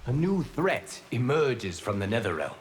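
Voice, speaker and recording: A man voicing a wise, solemn god who guards timelines in a video game, speaking in a formal, measured, stately way. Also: mid-range voice, very animated, slight background noise. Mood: stern, formal. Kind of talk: video game character dialogue.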